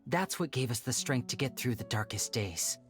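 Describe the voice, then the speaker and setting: An actor playing a gentle, devoted young male stage magician in a video game, with polished, theatrical phrasing. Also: mid-range voice, animated, moderate pace, close to an everyday voice. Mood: earnest, tender. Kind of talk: video game character dialogue. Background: faint game music.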